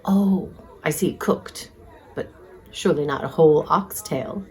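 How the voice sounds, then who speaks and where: A woman's voice: sits low, measured pace, warm tone. A woman playing an arch, flirtatious, inquisitive single woman in a dramatized novel. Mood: amused curiosity. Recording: chatter of a crowd behind. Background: crowd chatter, pub ambience.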